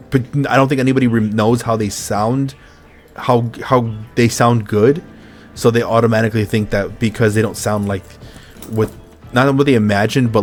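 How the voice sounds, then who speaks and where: A young man with a rough voice hosting a podcast in a casual, laid-back chat, swearing freely as he talks. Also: lowish voice. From Mexican heritage. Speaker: podcast host.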